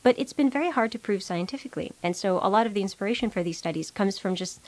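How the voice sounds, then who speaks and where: A woman's voice: medium pitch, animated. Woman researcher, native Russian speaker. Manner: measured, explanatory, many filler ums and uhs. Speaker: psychology researcher. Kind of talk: interview answers, explanatory.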